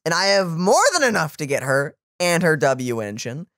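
A man's voice: on the high side, very animated, normal speed, fairly bright tone, no room sound. A man in his twenties co-hosting a reaction video, chatting casually and sometimes searching for his words, close to the microphone. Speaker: reaction channel co-host. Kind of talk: casual chat. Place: small home room, indoors. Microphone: boom-arm studio microphone, close.

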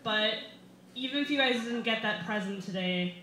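A young American woman reading a comic personal essay to a live audience, with wry, deliberate emphasis. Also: a little high, pushed and bright. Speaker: stand-up comedian reading an essay. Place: small brick-walled bar, indoors. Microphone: stand microphone, camera recording. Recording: roomy live bar recording, some background noise.

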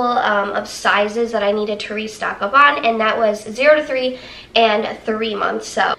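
A woman's voice: a little high, a bit bright. A young woman with a stuffy, nasal voice from a cold, chatting cheerfully to camera as she shows baby items in her living room. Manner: chatty, upbeat, laughs often. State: congested, nasal. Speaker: vlogger. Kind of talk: casual haul video. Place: living room, seated on the floor. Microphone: camera held at arm's length, then a camera across the room.

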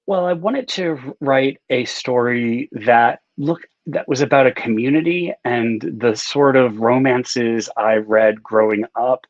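A man's voice: medium pitch, no echo. A middle-aged American man, an author being interviewed, chatting casually and telling stories on a video call with slightly muffled sound. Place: book-lined room at home, on a video call.

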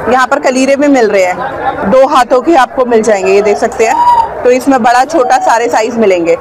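A woman's voice: a little high, wildly swooping pitch, moderate pace, poor audio. A woman vlogging a street market tour, chatting casually and asking vendors their prices. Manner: chatty, asks vendors prices and repeats them to viewers. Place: busy outdoor street market. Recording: loud crowd noise of a busy market.

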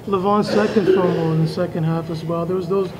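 A man's voice: sits high, steady tone, fairly quick, a crowd in the background, rough recording. A man, a sports reporter, putting questions to a coach, stammering and repeating words. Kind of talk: press scrum questions.

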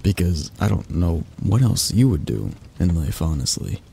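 A man playing a possessive, condescending, flirtatious mafia boss in an intimate ASMR roleplay, drawling and halting in a patronizing, domineering way. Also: low-pitched, big pitch swings, vocal fry, mellow, breathy edge, exaggerated voice.

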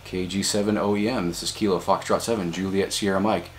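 A man's voice: low-pitched, fairly even intonation, fast, background noise. An American man in his forties giving a casual demonstration and chatting as an amateur radio hobbyist. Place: small home office indoors.

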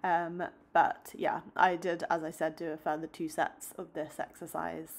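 A young woman vlogging about her day and workouts, chatting upbeat to a close phone camera, then calmly explaining each exercise in a voice-over. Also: low voice, normal speed. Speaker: fitness vlogger. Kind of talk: casual vlog chat and workout voice-over.

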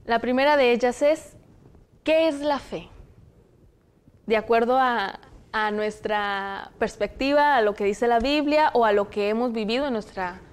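A young Mexican woman hosts a studio panel with a slightly high voice. She speaks in a measured, explanatory way into a lapel mic, clear and close. Her accent is Mexican Spanish. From Zacatecas, Mexico. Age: young adult. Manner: measured, explanatory, reads passages aloud. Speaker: host. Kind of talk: studio discussion hosting. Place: television studio, indoors.

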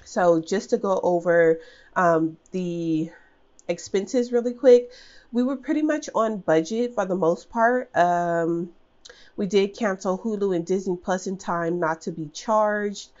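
A woman's voice: mid-range voice, a little slow, darkish tone, dull audio. A woman in her thirties with a stuffy head cold, casually talking through her budget planner with lots of ums and restarts. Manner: rambling, many ums and restarts. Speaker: budgeting channel host. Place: at home, over a desk. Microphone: camera above the desk, speaker off screen.

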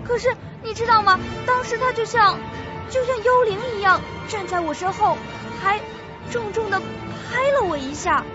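An actress playing a frightened, tearful young female employee in a suspense radio drama, halting and pleading. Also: very high voice. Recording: dull audio under loud music.

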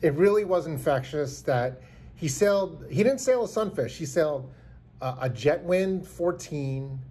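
Earnest man in his fifties, mellow voice. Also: on the high side, expressive, a little slow, husky. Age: 50s. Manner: earnest, rambling, many restarts. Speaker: vlogger. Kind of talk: heartfelt tribute talk to camera. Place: small indoor room. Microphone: phone camera held close.

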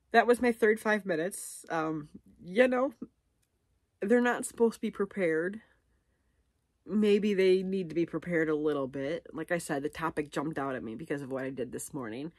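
A woman's voice: deep voice, husky, no room sound, clean studio sound. A middle-aged woman chatting casually and unscripted to camera, rambling and self-deprecating as she thinks aloud. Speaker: vlogger. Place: living room at home, on a couch.

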